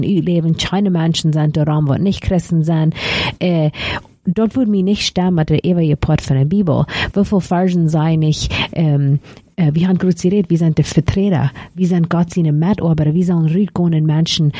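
A woman explains a Bible passage, stops often and starts her sentences over. Her voice is low and warm. The sound is dry and close. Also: no room sound. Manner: halting, restarts often. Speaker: discussion participant. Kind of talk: casual Bible discussion.

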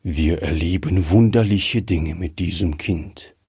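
Casting: elderly storybook shopkeeper played by a man, husky, slow-paced, guilt-ridden but kind, hesitant. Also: mid-range voice, sing-song, a little mellow, no echo, clean studio sound, exaggerated voice. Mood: muttering, bemused. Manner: measured, hesitant, muttering. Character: grey-headed old male shopkeeper, cautious, guilt-ridden, gruffly kind. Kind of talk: acted storybook dialogue.

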